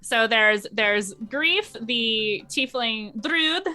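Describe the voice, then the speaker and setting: A woman's voice: on the high side, expressive, very slow, pushed and bright, soft background music. A woman speaking as an interview guest and game master, explaining things in casual chat.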